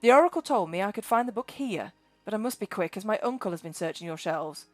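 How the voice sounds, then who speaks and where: A woman's voice: mid-range voice, expressive, fast, darkish tone, no echo, soft background music, lightly acted. A woman voicing a scrawny, frightened young boy who talks earnestly and stammers.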